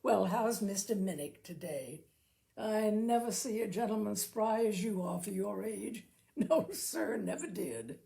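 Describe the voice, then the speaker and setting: A woman voicing a big, kindly washwoman with a rich, throaty voice and folksy, flattering manner. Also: medium pitch, measured pace, creaky. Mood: jovial, companionable.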